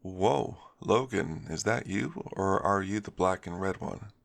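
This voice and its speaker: A man's voice: sits low, a little slow, creaky. A man voicing a playful, slightly insecure male werewolf in an ASMR story. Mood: surprised, curious. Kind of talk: character dialogue in an ASMR story.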